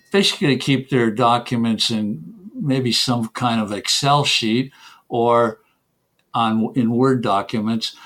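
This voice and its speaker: A man's voice: medium pitch, fairly bright tone. A middle-aged man from Canada, answering interview questions casually with many restarts and repeated words. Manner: hesitant, repeats words, chuckles between points. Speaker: software developer guest.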